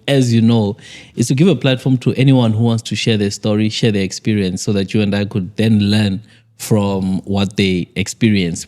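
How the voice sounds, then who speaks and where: A South African man hosts a podcast with a somewhat low voice. He welcomes listeners and draws out his guest, often answering her with short affirmations. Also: a little mellow. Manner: attentive, with frequent short affirmations. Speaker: podcast host. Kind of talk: podcast interview.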